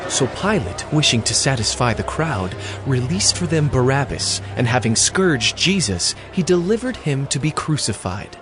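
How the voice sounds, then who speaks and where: A man's voice: medium pitch, sing-song, normal speed, hardly any pauses, over music. A man reading scripted scripture narration as a drama's narrator.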